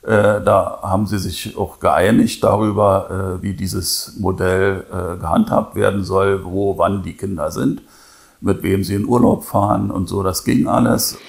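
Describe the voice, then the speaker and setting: An older man speaks as an interviewed lawyer in an office. His voice is low and extremely gravelly, and he phrases his answers haltingly. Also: steady tone. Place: indoor office. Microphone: lapel microphone.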